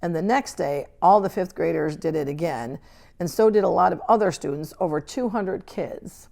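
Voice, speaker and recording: A woman's voice: lowish voice, normal speed, vocal fry, a little mellow. A woman reading a children's book aloud as a scripted narration. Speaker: reader.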